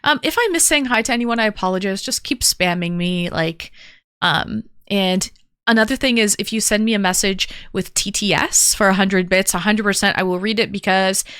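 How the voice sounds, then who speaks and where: This is a woman hosting a live stream who calls herself a yapper and talks her way from one viewer greeting to the next. Her voice is bright and lively at a middle pitch. The sound is close-miked and dry, with no room around it. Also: normal speed, smooth, no echo. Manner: upbeat, chatty. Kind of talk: casual livestream chat greeting viewers.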